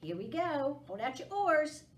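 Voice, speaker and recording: A woman with a fairly high, lively voice hosts a children's storytime. She reads and chats at an unhurried pace, coaxing young listeners along. The recording is echoey and a little distant. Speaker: library storytime host. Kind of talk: children's storytime read-aloud and chat. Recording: echoey room, distant microphone.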